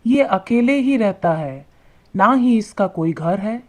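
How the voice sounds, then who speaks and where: A woman voicing a helpful, respectful male temple-goer who explains things in an animated moral tale. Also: medium pitch, slow pace. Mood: correcting.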